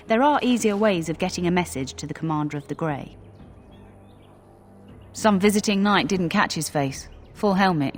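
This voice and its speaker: A performer as lowly fantasy-game messenger: apologetic, talkative, mid-pitched, faint music behind. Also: lightly acted. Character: humble, deferential city messenger. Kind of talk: video game voice acting.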